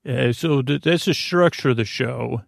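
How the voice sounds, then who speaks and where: A man with a gravelly, creaky voice of medium pitch talks close and dry, like a bedtime host. He meanders through halting, half-finished tangents. His manner is soothing and reassuring. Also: no echo. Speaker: podcast host. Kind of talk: rambling bedtime story monologue.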